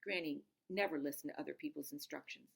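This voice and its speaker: A woman reading a storybook aloud in an expressive, emphatic narrating style. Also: sits low, fast, creaky, slightly rough. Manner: dramatic, emphatic, stops to explain words. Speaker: storybook reader.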